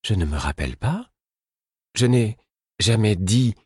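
A man's voice: on the low side, animated, measured pace, darkish tone, breathy edge, dry recording, studio quality, pitched above the performer's own voice, full character voice. A man voicing a distraught male royal fool in an audiobook, speaking in a pleading, anguished way. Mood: bewildered.

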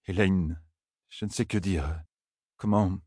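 A man voicing a shy, awkward young shepherd in an audiobook, speaking quietly and hesitantly in fumbling phrases. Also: low voice, slow, dry recording, studio quality. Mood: flustered. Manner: hesitant, fumbling, quiet, breaking off mid-sentence.

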